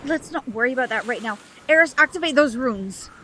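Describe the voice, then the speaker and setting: A woman's voice: sits high, animated, a little fast, sharp tone. A woman playing a protective mother who works magic in an audio drama, tense and direct. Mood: tense, defiant.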